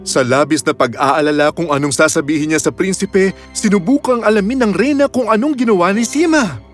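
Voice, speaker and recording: A man's voice: sits high, brisk, soft background music. A man narrating a scripted children's fairy tale with a dramatic storytelling delivery.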